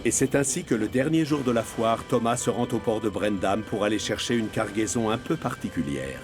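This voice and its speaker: Un homme narrant un dessin animé pour enfants, avec un ton de conte animé. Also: male voice, lowish voice, lively intonation, brisk. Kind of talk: scripted storybook narration. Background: music.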